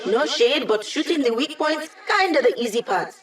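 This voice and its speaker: A woman's voice: high-pitched, expressive, moderate pace. An actress playing a young female hacker and tech ally in a video game, upbeat, casual and playfully sardonic. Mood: confident, instructive.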